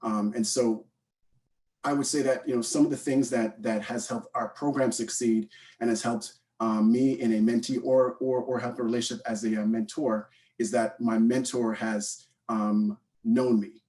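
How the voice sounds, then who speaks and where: A man's voice: mid-range voice, little pitch movement, normal speed, dark tone, distant mic, rough recording. A man in his thirties with a Canadian accent giving an informal unscripted talk, hesitant and often repeating his words. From born in Guyana, raised in Canada from age eight. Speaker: panel speaker, mentorship program co-founder.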